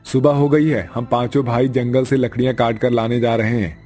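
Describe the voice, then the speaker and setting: A man's voice: mid-range voice, level tone, normal speed, warm tone, natural voice. A man voicing a poor, earnest young husband in a cartoon family story. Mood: resolute. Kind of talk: cartoon dialogue acting. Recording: music behind the voice.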